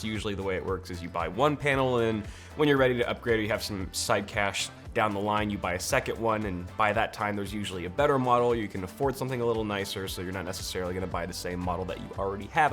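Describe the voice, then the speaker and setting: A man's voice: on the low side, a bit bright. An American man in his thirties giving casual, joking, conversational commentary as a tech video host. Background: background music.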